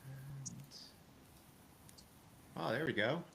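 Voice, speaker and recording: A man's voice: medium pitch, very slow, gravelly, a bit bright, a little hiss or hum. A man hosting a casual livestream, friendly and chatty, laughing often. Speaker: livestream host. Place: home, now living in Spain.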